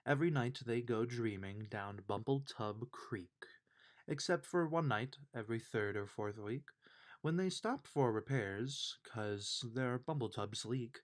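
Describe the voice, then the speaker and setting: Bedtime storybook reader: male, medium pitch, leisurely, expressive delivery. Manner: expressive, unhurried read-aloud delivery. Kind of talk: bedtime children's story read aloud.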